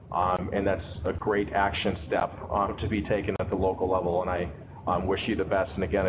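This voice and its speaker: Guy talking on a webinar, bit hoarse from being sick, lots of ums, low voice over a hissy noisy line. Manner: many ums, loose and conversational. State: getting over an illness, slightly losing his voice. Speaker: advocacy program staff. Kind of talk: webinar answers, casual.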